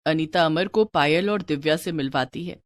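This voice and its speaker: A woman's voice: low-pitched, normal speed, no echo. A woman narrating a scripted moral story as a storyteller.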